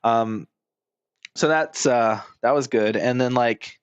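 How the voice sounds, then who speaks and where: Guy streaming a game, rambly and blunt, sweary, average pitch, dry close mic. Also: slow, clean tone, no echo, muffled recording. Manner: blunt, informal, frequent swearing. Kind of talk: casual rambling game commentary.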